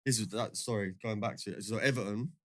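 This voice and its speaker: A young man talks in a slangy, chatty way, his voice sitting low. He laughs often as he tells his stories. The sound is dry and close, with no room echo. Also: quick pace. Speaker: guest, former footballer. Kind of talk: casual podcast storytelling.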